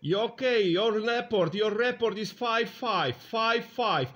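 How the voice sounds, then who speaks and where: A man with a Polish accent makes radio contact calls in a high, bright voice. He speaks in clipped phrases and repeats himself, spelling things out as a CB operator does. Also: slow pace. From Poland.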